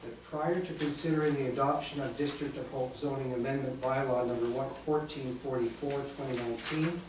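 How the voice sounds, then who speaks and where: A man chairs a town council meeting, reading motions and calling for votes in a brisk procedural rhythm. He sits well back from the microphone in a hard, heavily echoing chamber, with a steady hiss of room noise behind him. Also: medium pitch. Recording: echoey chamber, distant mic, background noise.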